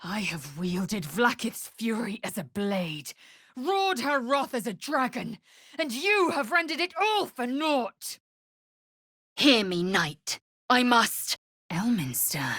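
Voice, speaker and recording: A woman playing a proud, fierce githyanki warrior in a fantasy video game, with a husky, raspy voice and a clipped, imperious, formal delivery. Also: fairly high pitch, very animated, takes it slowly, fairly bright tone, no echo, studio quality, exaggerated voice. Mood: fierce, urgent, then stern.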